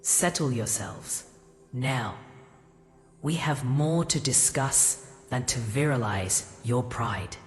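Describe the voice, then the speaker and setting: A ghostly ancient goddess for a fantasy audio drama, solemn and imperious. Her voice is strikingly deep and unhurried, washed in long cavernous reverb. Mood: stern, commanding. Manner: solemn, formal, deliberate, regal. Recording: cavernous reverb, faint music.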